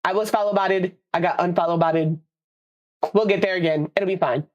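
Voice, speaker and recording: A woman's voice: lowish voice, steady tone, moderate pace, muffled recording. A young woman from the United States, a streamer, chatting casually with her viewers in a rambling way and laughing easily, a little congested and nasal. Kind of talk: casual live-stream chat.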